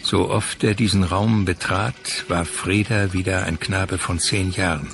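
A man's voice: sits low, fairly even intonation, a little slow, gravelly, fairly bright tone. A man reading literary prose as the narrator of a dramatic audio piece. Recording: music under the voice. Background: music.

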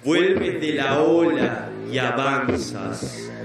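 A man giving a live experimental poetry recitation at an arts festival, declaiming dramatically with halting repetitions. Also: medium pitch, gravelly. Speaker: sound poetry performer. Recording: very echoey live festival recording, distant, music.

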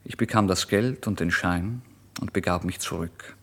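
A man's voice: sits low, moderate pace, breathy edge, exaggerated voice. An actor playing an impoverished, irritable and impulsive male writer, telling his story in a theatrical, emphatic radio monologue. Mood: eager, brightening. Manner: theatrical, emphatic, swinging between hushed and loud. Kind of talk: literary first-person radio monologue, dramatised narration.

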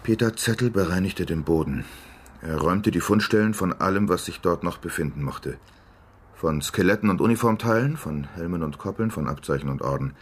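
An actor in a radio drama playing a male reporter who reads out a sober, measured, declamatory exposé. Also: low voice, lively intonation, slightly rough. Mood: sober, accusatory. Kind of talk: scripted narration in a radio drama.

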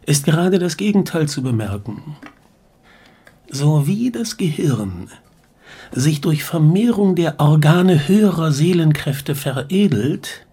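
A husky, pedantic old-time professor. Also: male voice, fairly high pitch, mellow, full character voice. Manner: formal, lecturing delivery. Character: pedantic male professor lecturing on skulls. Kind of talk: German radio play acting.

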